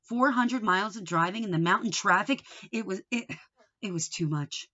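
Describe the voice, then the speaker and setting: A woman's voice: medium pitch, normal speed, sharp tone, dull audio. A woman voicing a tired, practical, matter-of-fact father in a storybook read-aloud. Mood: weary. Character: tired, practical father, mild-mannered man.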